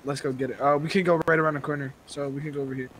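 A man's voice: fairly high pitch, steady tone, racing, fairly bright tone, slight background noise, rough recording. A man talking casually and profanely in slang while playing an online roleplay game. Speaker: gaming video creator playing online roleplay.

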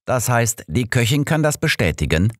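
A man's voice: mid-range voice, big pitch swings, normal speed, no echo, clean studio sound, pitched above the performer's own voice, lightly acted. A man voicing an old master detective in an audiobook, precise, formal and self-assured in his explanations. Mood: questioning. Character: old master detective, analytical, sure of himself.

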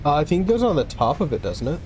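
A man chatting casually with a friend during a co-op building game, relaxed and quick to laugh. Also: fairly high pitch, slight background noise, poor audio. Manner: laid-back, laughs often, talks to game objects. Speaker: co-op gameplay player. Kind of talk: casual gaming chat.

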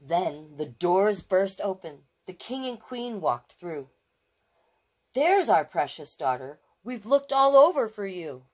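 A woman with a mid-range voice reads a children's story aloud as a storytime host. Her delivery is expressive, and she slips into affectionate, conversational asides, often repeating a phrase. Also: mid-range voice, slow. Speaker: storytime host reading picture books.

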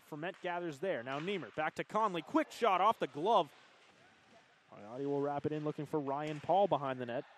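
A man calling hockey play-by-play, rattling off passes and shots and surging loud whenever a goal goes in. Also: on the high side, a little hiss or hum. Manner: rapid calls that surge loud on goals. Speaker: play-by-play commentator.

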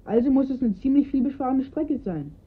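A woman's voice: sits high, animated, fairly quick, slightly rough. A woman playing an observant, earnest young boy in a radio crime drama, recounting details precisely. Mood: eager, precise. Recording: muffled phone-like band-limited sound.

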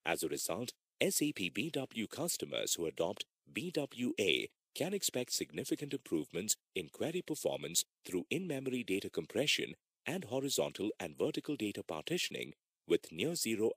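A man reading scripted instructional narration in a measured, formal manner, as for an e-learning course. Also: on the low side, big pitch swings, vocal fry, a bit bright, husky, no echo.

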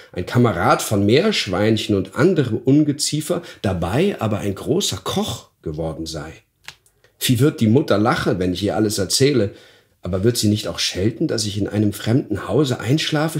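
A man's voice: fairly low pitch, sing-song, normal speed. A man voicing a bewildered boy turned into a dwarf, earnest and pleading in fairy-tale style. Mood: puzzled. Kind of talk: dramatized fairy-tale audiobook.

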